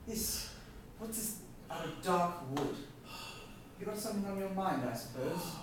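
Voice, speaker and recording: A man's voice: high voice, expressive, measured pace. A man playing a scolding, incredulous friend. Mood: suspicious. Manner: questioning, scolding. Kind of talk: theatrical stage acting. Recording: echoey hall from far off, ambient noise.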